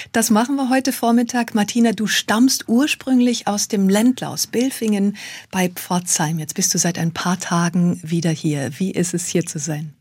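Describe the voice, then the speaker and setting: A woman hosting a radio interview, asking questions in a friendly, lively way. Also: medium pitch, husky, no echo. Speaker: radio host. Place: radio studio.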